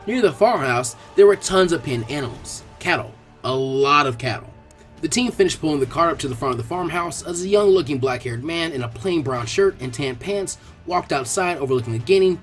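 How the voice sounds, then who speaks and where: A man reading fan fiction aloud in expressive scripted narration. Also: on the high side.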